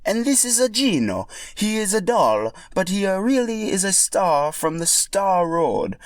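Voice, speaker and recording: A man voicing an eager Italian plumber hero in a storybook tale, with a put-on Italian accent and halting broken English. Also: sits high, very animated, no echo, studio quality, pitched above the performer's own voice. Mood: cheerful.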